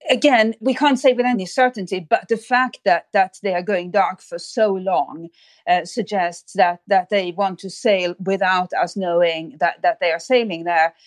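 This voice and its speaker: A woman's voice: medium pitch, fairly even intonation, moderate pace, no room sound. A woman speaking as an expert guest in an interview, explaining carefully with hesitant phrasing and repeated words. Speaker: expert guest, writer and analyst.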